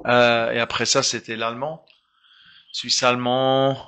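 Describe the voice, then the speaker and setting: Guy talking slow in french, bright edgy voice, keeps restarting and hunting for words. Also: mid-range voice, little pitch movement, smooth. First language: German. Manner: hesitant, searching for words with many restarts. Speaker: guest musician. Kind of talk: casual interview talk.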